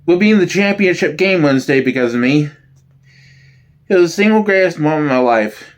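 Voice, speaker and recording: Man acting as younger sitcom comedian, sardonic, boastful, high-set voice, lively pitch. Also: brisk, lightly acted.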